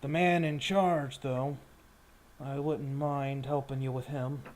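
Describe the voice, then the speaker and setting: A man voicing a measured warrior leader with grudging respect and an understated, hesitant way of talking in a tabletop game. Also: medium pitch, fairly even intonation, lightly acted. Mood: measured, guarded.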